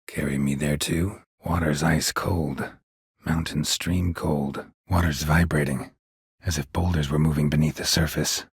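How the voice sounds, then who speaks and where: A male actor playing a stoic, world-weary monster hunter in a video game, terse and deadpan, with a low gravelly rasp. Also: a little slow, no echo, studio quality, heavily acted. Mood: calm, matter-of-fact. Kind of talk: video game character dialogue.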